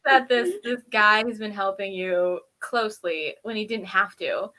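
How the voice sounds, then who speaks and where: A woman's voice: mid-range voice, unhurried, sharp tone. A woman co-hosting a review show in casual, informal chat, giggly and given to exasperated asides. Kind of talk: casual chat, recapping and critiquing a TV episode.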